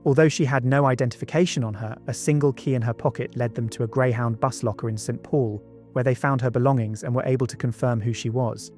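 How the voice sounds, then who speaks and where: A man's voice: mid-range voice, fairly even intonation, fast talker, hardly any pauses, darkish tone. A man narrating a true-crime documentary from a script, with conversational asides. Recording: music bed behind the voice. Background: background music.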